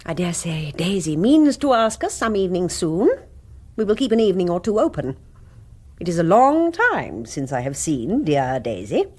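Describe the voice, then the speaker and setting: A woman's voice: on the low side, very animated, normal speed, darkish tone. A woman playing a self-important, middle-aged society queen in a radio comedy, with an upper-class English accent and a pretentious, condescending manner. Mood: breezy, scheming. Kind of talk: radio comedy drama acting.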